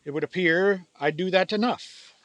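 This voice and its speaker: A cold old miser in a radio play, acted by a man, with a high, hard-edged voice in a dry recording. He is formal and condescending, and his words drip with sarcasm. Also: normal speed, a bit bright, no room sound, poor audio. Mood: cold, stern. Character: cold, miserly old male business owner, scornful of Christmas. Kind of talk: theatrical radio play acting.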